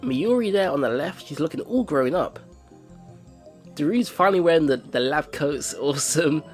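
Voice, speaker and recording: A man's voice: fairly high pitch, animated, moderate pace. A man hosting a let's play, reading visual novel narration aloud and adding informal, exclaiming reactions. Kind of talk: reading game narration aloud with casual commentary. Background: game music.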